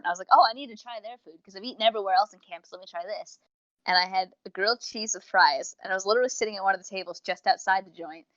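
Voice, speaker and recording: A woman's voice: mid-range voice, fairly bright tone, no room sound. A young adult woman chatting casually as a podcast co-host, rambling and interrupting herself as she goes. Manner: chatty, tangent-prone, self-interrupting. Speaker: podcast co-host reviewing audio dramas. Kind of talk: casual rambling review chat. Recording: dull, lo-fi close dry mic.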